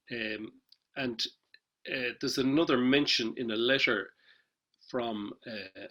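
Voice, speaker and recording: Male panellist, husky tone, halting unscripted delivery over a video call. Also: mid-range voice, sharp tone. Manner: hesitant, many fillers and restarts. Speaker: panellist, traditional musician. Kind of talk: casual webinar talk.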